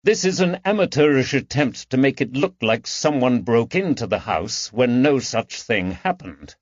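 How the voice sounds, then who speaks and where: A man voicing a composed, methodical police detective with a measured, authoritative manner in an audiobook. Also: mid-range voice, expressive, no echo, dull audio, natural voice. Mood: firm. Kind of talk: audiobook character dialogue.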